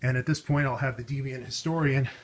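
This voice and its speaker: A man's voice: mid-range voice, steady tone, a little fast. A man hosting a casual show-and-tell, explaining things in an informal, conversational way. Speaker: host of a vintage radio showcase.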